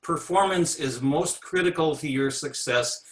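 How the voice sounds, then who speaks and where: A man's voice: fairly high pitch, measured pace, reverberant, distant mic. A man moderating an online convention panel, steering the discussion in an orderly way.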